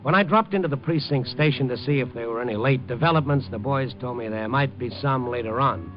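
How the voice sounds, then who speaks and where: A man's voice: mid-range voice, a little fast, lightly acted. Hard-boiled American newspaperman played by a man, wry and colloquial, muffled vintage radio with faint music behind. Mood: wry, brooding. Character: world-weary wisecracking male newspaper night reporter. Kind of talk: 1950s radio noir first-person narration. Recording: dull old radio recording, music behind.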